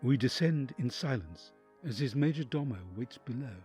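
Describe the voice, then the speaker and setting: An actor playing a count's anguished envoy torn by conscience, narrating an audio drama in an expressive, literary, introspective way. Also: male voice, medium pitch, normal speed, faint music behind, lightly acted. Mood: tense, watchful.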